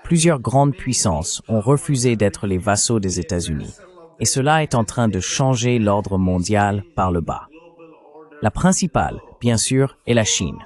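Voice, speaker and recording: A man's voice: fairly low pitch, animated, measured pace, darkish tone. A man delivering scripted political and economic commentary in a formal, didactic manner. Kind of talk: scripted analytical narration.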